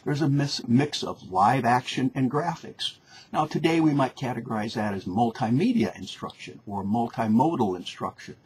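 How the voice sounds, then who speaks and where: A man's voice: mid-range voice, expressive, moderate pace, darkish tone. A man lecturing informally, explaining in a conversational, didactic way and often rephrasing for emphasis. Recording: faint background noise.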